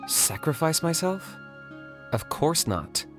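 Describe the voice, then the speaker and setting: A man playing a cool, composed young hunter in a video game, speaking in a calm, dry, matter-of-fact way. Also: on the high side, takes it slowly, over music. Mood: frank, dry.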